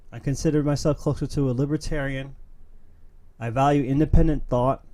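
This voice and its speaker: A man's voice: fairly high pitch, darkish tone. Earnest man hosting a streamed opinion show. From New York City. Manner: earnest, emphatic, with fillers and restarts. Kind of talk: casual monologue, reading memes.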